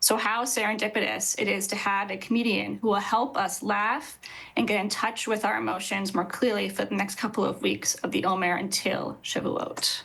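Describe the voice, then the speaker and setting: A woman's voice: medium pitch, fairly even intonation, normal speed, gravelly, fairly bright tone, low-quality recording. Earnest woman explaining on a video call. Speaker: rabbi, interview guest. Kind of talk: explanatory interview answers. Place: indoor office with bookshelves.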